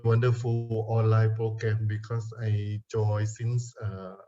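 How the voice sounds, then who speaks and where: A man's voice: on the low side, monotone, takes it slowly, mellow, soft background music. A man with a Thai accent giving formal guest remarks over a video call, hesitant and often repeating words. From Thailand. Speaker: guest speaker, Buddhist organisation president. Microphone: online video call. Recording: dull, lo-fi video call audio.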